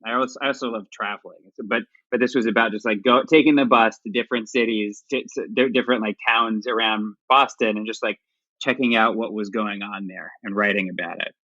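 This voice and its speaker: A man's voice: lowish voice, steady tone, brisk, pushed and bright, no echo, muffled recording. A man in his forties from Dallas, Texas, talking as a company founder in a casual interview, often restarting and repeating words.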